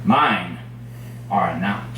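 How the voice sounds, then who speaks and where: A man performing his own poetry at a live reading, with measured, dramatic phrasing and deliberate pauses. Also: deep voice, animated, bright, forceful tone. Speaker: poet reading own work. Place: indoors at a cafe poetry reading. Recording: very echoey room, distant mic, faint noise.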